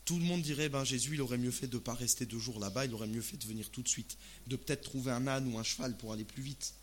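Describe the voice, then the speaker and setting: Male preacher, medium pitch, informal and expressive sermon delivery, live recording with light background noise. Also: fast. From Alsace, France. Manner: expressive, uses rhetorical questions and acted-out asides. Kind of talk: sermon in conversational, colloquial language.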